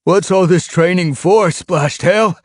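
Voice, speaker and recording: A man's voice: high-pitched, slow pace, dry recording, studio quality, pitched above the performer's own voice. A man voicing a meek, out-of-breath older male cat who gasps out protests in an audiobook. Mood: gasping. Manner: gasping, protesting. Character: tired older tom cat, winded and meek.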